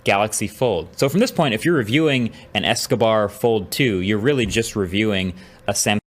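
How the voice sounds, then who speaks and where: A man's voice: lowish voice, normal speed. A man reviewing a phone in a played clip, explaining in a matter-of-fact way. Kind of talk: product review.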